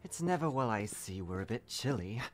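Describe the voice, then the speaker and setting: A man's voice: on the low side, sing-song, moderate pace, background noise. A man playing a witty, unflappable female archaeologist adventurer in a comic dub, full of wry quips and muttered asides. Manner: wry, quipping, talks to self. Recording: music behind the voice. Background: clear music.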